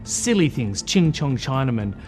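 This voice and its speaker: A man's voice: mid-range voice, big pitch swings, unhurried, a little mellow, faint music behind. An Australian man in a news report, candidly reflecting on his family history and his own past. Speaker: interviewee in a report. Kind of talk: personal recollection.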